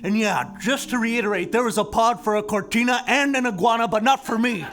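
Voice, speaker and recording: A man's voice: very high voice, fairly quick, fairly bright tone. An actor playing a crotchety old man stranded in space, in a comic live stage podcast, explaining things matter-of-factly. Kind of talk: live comedic musical podcast acting. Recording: live theater recording with faint music.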